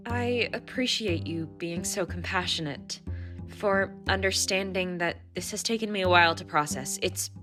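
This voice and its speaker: A woman's voice: fairly low pitch, moderate pace, lightly acted. An actress playing a tentative, appreciative expectant mother in a radio play. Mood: tentative, grateful. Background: background music.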